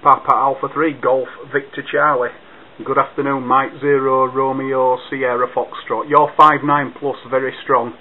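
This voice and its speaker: A man's voice: mid-range voice, level tone, normal speed. A man working as an amateur radio operator, talking in clipped procedural phrases and phonetic call signs during a radio contact. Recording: narrow tinny sound with faint hiss.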